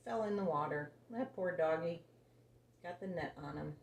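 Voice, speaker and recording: A woman's voice: on the low side, moderate pace, vocal fry. A woman telling a picture-book story to young children, simply and expressively, putting questions to the listener. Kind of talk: animated read-aloud storytelling for young children. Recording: roomy echo, faint background noise.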